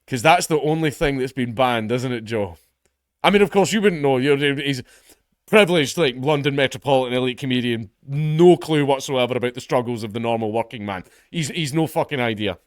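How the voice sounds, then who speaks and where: A man's voice: a little fast, clean tone, no echo, studio quality. A Scottish man commenting on an article in a casual, sarcastic, sweary way, mocking the people he quotes. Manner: reads article passages in a lower, quieter voice. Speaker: online commentator.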